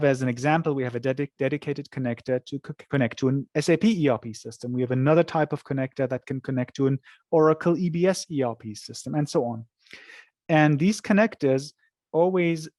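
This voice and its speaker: A man with a mid-pitched voice gives explanatory answers in a webinar interview. He is measured and occasionally repeats a word while finding his phrasing. The recording is dry, with very little room sound. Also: darkish tone, dry recording. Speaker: software company representative answering questions.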